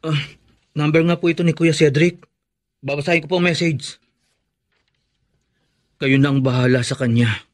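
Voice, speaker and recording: An actor with a Filipino accent playing a respectful, caring young son and brother in a radio drama, earnest and polite. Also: male voice, fairly high pitch, fairly even intonation, normal speed, long pauses, lightly acted. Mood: alarmed.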